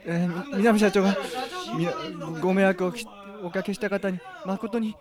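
A man's voice: high voice, flat delivery, moderate pace, natural voice. A man playing a flustered young director who stammers apologetically at a press conference in a radio drama. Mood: flustered, apologetic. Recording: clear crowd noise behind.

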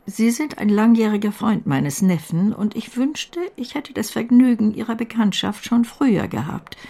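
An actress playing an outspoken older aunt in a radio play, brisk and formal in manner. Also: female voice, a little high, animated, vocal fry, mellow. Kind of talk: German radio play acting.

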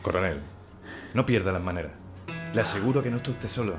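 An actor playing an earnest republican commissar rallying a colonel. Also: male voice, lowish voice, fast talker, over music, close to an everyday voice. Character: zealous male republican commissar.

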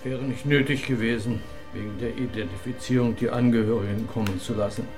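An actor playing a sober police examiner at a crime scene in a radio crime drama. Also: male voice, medium pitch, steady tone, fairly quick, over music, natural voice. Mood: grave.

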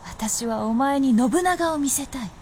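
An actress playing a playful, confident, centuries-old fox princess in a radio drama, with teasing, old-fashioned haughty speech. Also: female voice, high voice, animated, unhurried, a little mellow. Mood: playful, boasting. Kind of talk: Japanese radio drama acting.

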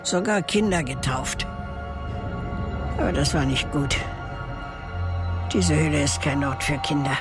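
A gruff old local in a radio play, his voice fairly high for a man. He talks colloquially and patronizingly, like someone haunted by the past, with music playing clearly behind him. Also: expressive, measured pace, pauses often. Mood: grave. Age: older. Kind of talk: naturalistic radio drama acting.

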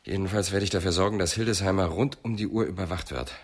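An actor playing a dry, persistent police inspector in a radio crime drama, questioning with sceptical insistence. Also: male voice, low voice, fast talker. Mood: matter-of-fact.

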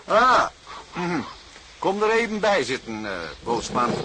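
An actor playing an older, thrifty sea captain in a radio drama, speaking in a measured, paternal way with hums and repeated murmurs. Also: male voice, fairly high pitch, sing-song, background noise. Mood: hospitable, frugal.

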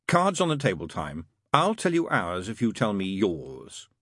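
A male narrator plays a sardonic, worldly demon in a comic fantasy audiobook. The voice is rough-edged and swings widely in pitch, recorded very dry. He drawls with weary, offhand sarcasm. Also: huge pitch swings, a little slow, fairly bright tone, dry recording, heavily acted.

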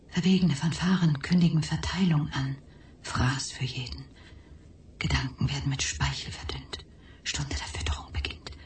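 Radio play: haunted young woman, hushed breathy whisper, distant roomy sound. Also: low voice. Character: troubled young woman in visionary trance.

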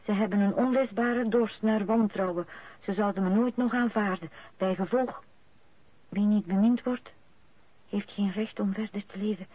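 A woman's voice: medium pitch, normal speed, dry recording, close to an everyday voice. Actress as composed woman in muffled radio drama. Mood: grave. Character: woman friend of an older man, composed. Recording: dull old radio recording.

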